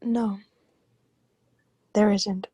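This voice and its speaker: A woman's voice: medium pitch, little pitch movement, very slow, mellow, slightly rough, no room sound. A woman playing an anxious, secretive politician's wife in a radio melodrama, speaking hesitantly and breaking off her sentences. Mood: subdued. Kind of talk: old-time radio drama acting.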